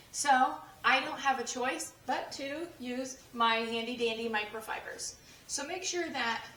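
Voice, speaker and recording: A young woman with a hoarse, fading voice, chatting through a cleaning demonstration with lots of fillers and restarts. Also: high-pitched, bright, forceful tone, slight background noise. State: losing her voice. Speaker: cleaning tips creator. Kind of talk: casual how-to demonstration. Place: home kitchen. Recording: echoey room, voice from a distance.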